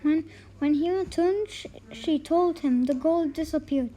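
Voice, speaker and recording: A woman reads a children's folktale aloud in a very high voice. She goes slowly and haltingly, often tripping on a word and saying it again. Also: dark tone, low-quality recording. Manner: halting, stumbles and repeats words. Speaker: storybook reader.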